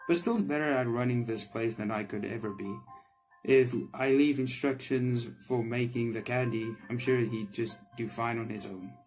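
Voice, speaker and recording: A man's voice: medium pitch, moderate pace, a little mellow, soft background music, natural voice, poor audio. A man voicing a blunt but caring candy shop owner in a visual novel, speaking in a dry, casual way.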